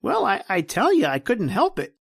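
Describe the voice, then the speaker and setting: A man's voice: high-pitched, wildly swooping pitch, normal speed, vocal fry, slightly rough, no room sound, studio quality, full character voice. A man playing a shifty, self-serving young friend who talks evasively, in an old-time radio drama style. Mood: defensive, sheepish.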